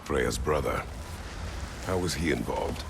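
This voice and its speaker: A man's voice: extremely low pitch, measured pace, gravelly, background noise, exaggerated voice. An actor playing a stern, grim middle-aged warrior god and father, speaking in terse, clipped words.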